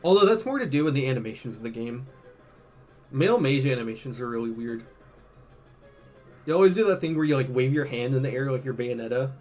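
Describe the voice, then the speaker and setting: A man doing casual, slangy live-stream commentary, thinking out loud, his voice a bit worn from hours of talking. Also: mid-range voice, lively intonation, a little fast, pauses often, soft background music. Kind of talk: casual live-stream commentary, ranking game tier lists.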